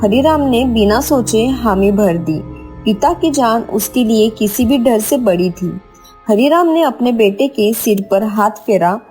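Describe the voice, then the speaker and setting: A woman's voice: fairly high pitch, expressive, measured pace, warm tone, soft background music. A woman narrating a scripted horror story with dramatic storytelling delivery.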